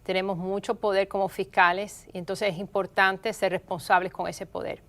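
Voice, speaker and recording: Smooth-voiced middle-aged woman. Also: mid-range voice, level tone, fairly bright tone. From Miami, of Cuban parents. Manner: measured, explanatory, earnest. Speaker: guest, state prosecutor. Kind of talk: interview answers.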